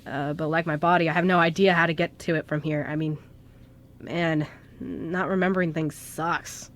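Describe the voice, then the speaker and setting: A woman's voice: low voice, fairly even intonation, normal speed, close to an everyday voice. A woman playing a sassy, casual young male ghost in an amateur radio play. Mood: puzzled, casual. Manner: casual, flippant.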